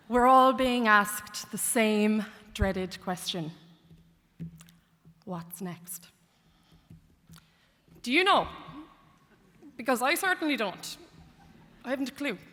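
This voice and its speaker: A young woman with a bright, clear voice delivers a heartfelt graduation address, mixing sincerity with light humour. Her words carry through a lectern microphone, trailing a long reverb across a large concert hall. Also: a little high, animated, slow, pauses often. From Ireland. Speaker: graduate speaker. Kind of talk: commencement speech.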